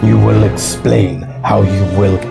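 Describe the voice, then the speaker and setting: Man as a gravelly, menacing alien warlord. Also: fairly low pitch, flat delivery, measured pace, over loud music. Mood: cold menace. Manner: terse, commanding, threatening. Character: cold menacing alien warlord, male, clawed. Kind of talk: audio drama acting.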